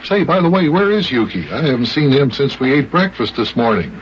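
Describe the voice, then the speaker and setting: A man's voice: mid-range voice, very animated, brisk, a little hiss or hum. Man hosting vintage children's radio. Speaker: host and narrator of children's radio show. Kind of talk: 1940s-50s children's radio storytelling. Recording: muffled old-time radio recording.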